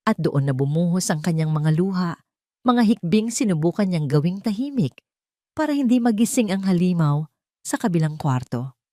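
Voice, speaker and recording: A woman with a fairly low voice narrates dramatic audio fiction. She reads scripted prose as a storyteller, in a dry recording with little room sound. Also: lively intonation, moderate pace, dark tone, dry recording.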